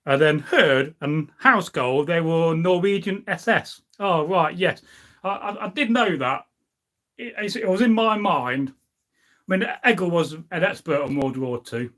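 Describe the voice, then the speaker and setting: A middle-aged man hosts a casual live stream. His voice sits a little high for a man. He talks in an informal, wandering, anecdotal way, as if chatting with his viewers. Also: moderate pace, fairly bright tone. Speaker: live stream host, history enthusiast. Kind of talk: casual rambling chat, reading out and answering viewer comments.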